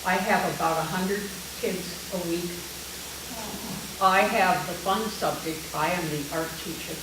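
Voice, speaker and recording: A woman in her seventies with a big voice, a retired teacher telling a small group about herself in a lively, joking way. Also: on the low side, unhurried. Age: 70s. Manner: lively, chatty, jokey storyteller. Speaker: guest speaker, art teacher. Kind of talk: casual talk to a small group. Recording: echoey distant room sound with hiss.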